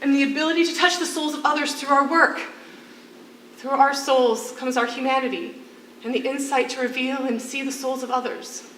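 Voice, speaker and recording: A woman acts out a determined, idealistic artist prisoner in a stage drama. Her voice is high and forceful, urging with deliberate, persuasive emphasis. The sound is reverberant, as if captured from back in the theatre. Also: fairly even intonation. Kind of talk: theatrical stage play acting. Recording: echoey, faintly noisy, distant stage recording.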